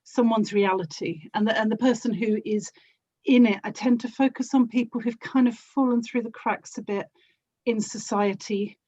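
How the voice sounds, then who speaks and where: A woman's voice: on the high side, fairly even intonation, normal speed, warm tone, lo-fi sound. A woman from South London with a South London accent, chatting casually as an interview guest. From South London, England. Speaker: interview guest, audio drama actor and producer.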